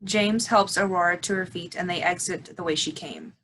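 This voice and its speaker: A woman's voice: fairly low pitch, normal speed, fairly bright tone, low-quality recording. A woman reading stage directions as scripted narration, with slight noise in the recording.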